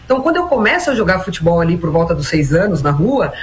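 A woman in her forties from Brazil, a former national team player answering interview questions in a measured, reflective way with many small fillers. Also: fairly low pitch, big pitch swings, slight background noise. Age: 40s. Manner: measured, frequent "né" and "então" fillers.

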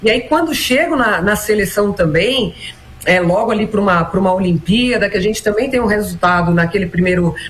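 A woman in her forties from Brazil, a former national team player answering interview questions in a measured, reflective way with many small fillers. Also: mid-range voice, animated, a little hiss or hum. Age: 40s. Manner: measured, frequent "né" and "então" fillers.

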